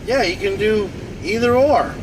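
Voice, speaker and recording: A man's voice: high-pitched, a little slow. A man in his forties from North Dakota, hosting a live stream in a rambling, repetitive casual monologue, often restarting and repeating phrases. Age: 40s. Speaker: livestream host. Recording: noisy outdoor traffic behind. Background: car and street noise.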